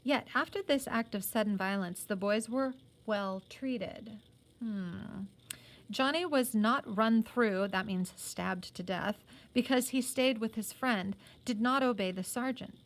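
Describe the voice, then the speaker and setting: A woman with a fairly high voice reads a story aloud like a teacher. She is expressive with the narrative and slips into informal, playful asides to explain it. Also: a little slow.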